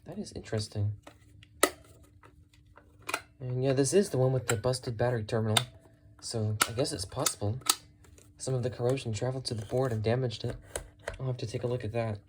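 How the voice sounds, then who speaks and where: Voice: mid-range voice, a little fast, pauses often, very noisy. A person narrating casually while working hands-on, musing and thinking aloud. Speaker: radio collector presenting a thrift find.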